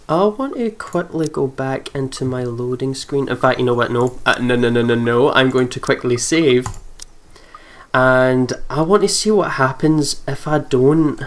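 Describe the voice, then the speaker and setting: A let's play host reading a horror visual novel aloud and commenting casually, with excitable, jumpy reactions. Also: medium pitch. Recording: music behind the voice. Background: game music.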